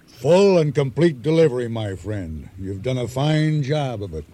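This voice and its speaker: An actor playing a calm, commanding seafaring schemer, terse and smoothly courteous with quiet menace, in a radio drama. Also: male voice, mid-range voice, unhurried. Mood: smooth, quietly menacing. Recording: radio drama with faint background noise.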